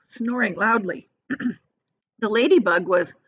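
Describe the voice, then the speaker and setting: A woman's voice: mid-range voice, slow pace, a bit bright, slightly rough, lo-fi sound. A woman reading a children's story aloud like a teacher, expressively, breaking off for plain, casual explanations. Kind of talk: animated storybook read-aloud with casual asides.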